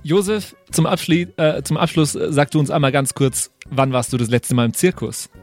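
A man's voice: fairly high pitch, normal speed, soft background music. A man hosting a podcast in casual, colloquial chat. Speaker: podcast host.

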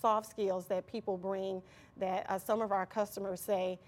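Mid-pitched woman giving halting interview answers. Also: level tone. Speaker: interview guest from an employment nonprofit.

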